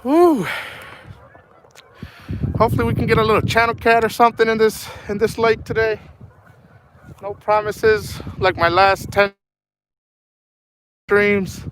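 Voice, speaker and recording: A high-voiced young man chats loosely to his livestream viewers from a cold lakeshore, his throat sore and his voice half gone. He rambles in an easygoing way and tacks "man" onto his sentences. Steady wind roars across his phone mic as he talks. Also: unhurried, pauses often, poor audio. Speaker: fishing livestream host. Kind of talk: casual live-stream chat reading out viewer names. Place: outdoors by a windy, partly frozen lake. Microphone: phone held at arm's length. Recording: loud wind noise outdoors. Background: wind and honking geese.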